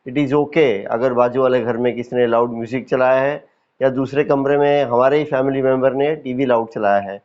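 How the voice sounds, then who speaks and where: A man with an Indian accent leading a guided yoga nidra meditation as a teacher, calm and instructive, with deliberate pauses and repetition. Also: medium pitch, dull audio.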